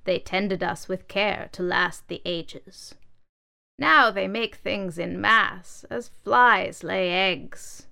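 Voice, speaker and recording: Storybook casting: woman doing a dignified old table, slow and smooth. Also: medium pitch, sharp tone, exaggerated voice. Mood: solemn.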